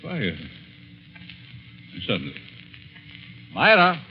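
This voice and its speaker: An actor playing a genteel, scheming, middle-aged professor who feigns innocence in a 1940s radio mystery, theatrical and measured. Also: male voice, a little high, wildly swooping pitch, slight background noise, heavily acted. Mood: feigned concern. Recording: muffled, narrow-band 1940s radio recording.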